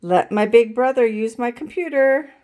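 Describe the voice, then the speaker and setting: A woman reading a children's picture book aloud in an expressive, deliberate way, as if to young listeners. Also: on the high side. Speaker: storytime reader.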